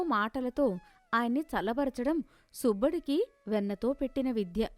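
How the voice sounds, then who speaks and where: A woman's voice: fairly high pitch, a little mellow, no room sound. A woman narrating a scripted moral story in a storytelling style.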